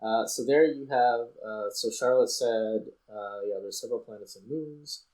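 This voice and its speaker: A man's voice: medium pitch, moderate pace, a little mellow, low-quality recording. A man giving casual let's play commentary as a gaming host, rambling and thinking aloud as he goes.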